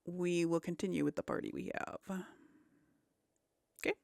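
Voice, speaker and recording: A woman hosting a casual let's play, thinking aloud in a folksy way with a creaky voice as she comments on the game. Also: on the low side, fairly even intonation, normal speed. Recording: faint background game noise.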